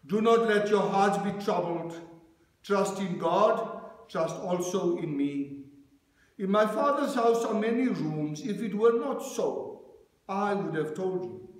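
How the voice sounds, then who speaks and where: Man, church minister, measured preaching, higher voice, very echoey sanctuary. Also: lively intonation. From South Africa. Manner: slow, measured reading cadence. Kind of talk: sermon with scripture reading. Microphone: lectern microphone, far camera.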